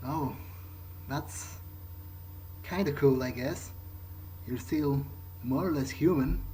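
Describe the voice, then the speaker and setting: A man's voice: mid-range voice, takes it slowly, slight background noise, low-quality recording. A man commenting in character during a video game playthrough, musing aloud in a hesitant way. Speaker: gamer playing in character. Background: loud game music.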